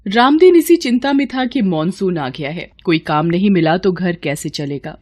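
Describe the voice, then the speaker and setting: A woman's voice: lowish voice, expressive, moderate pace, darkish tone. A woman narrating a scripted moral story as a storyteller.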